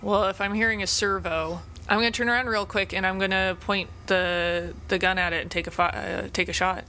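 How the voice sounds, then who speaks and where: A woman's voice: medium pitch, fairly even intonation, a little fast, sharp tone, soft background music. A woman playing in a tabletop role-playing game, asking questions and thinking through her moves aloud. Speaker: player.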